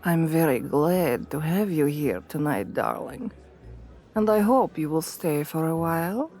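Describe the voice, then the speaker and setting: Woman voicing a slow, regal, seductive vampire queen. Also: low-pitched, lively intonation, a little mellow, faint music behind. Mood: gracious, probing. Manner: formal, courtly, commanding, coaxing. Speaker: ASMR roleplay performer. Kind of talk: scripted ASMR roleplay, addressing the listener.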